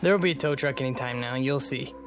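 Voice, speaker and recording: An actor playing a confident, wryly joking young newlywed husband in a radio drama. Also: male voice, medium pitch, over music, natural voice. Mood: calm, optimistic. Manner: wry, joking, unhurried. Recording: steady car road noise behind.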